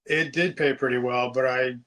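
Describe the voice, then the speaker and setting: Relaxed chatty man in his fifties. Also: mid-range voice, moderate pace. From United States. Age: 50s. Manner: relaxed, chatty storyteller with frequent ums and restarts. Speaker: interview guest. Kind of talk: casual interview chat.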